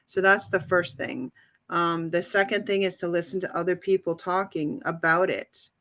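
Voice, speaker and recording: A woman English teacher hosting a casual interview, explaining in a relaxed way with many pauses and ums. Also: lowish voice.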